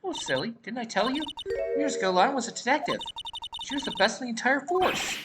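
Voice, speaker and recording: A woman's voice: fairly high pitch, fast talker, voice pushed up. A woman voicing an eager, emotional teenage girl who adores her older sister, in a video game, with music behind. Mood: excited, proud. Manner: excitable, pleading.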